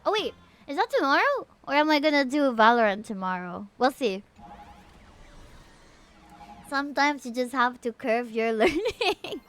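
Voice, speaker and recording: High-pitched woman streamer, chatty. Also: fairly bright tone. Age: 30s. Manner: chatty, informal, talking to viewers. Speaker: streamer and VTuber. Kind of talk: casual livestream chat.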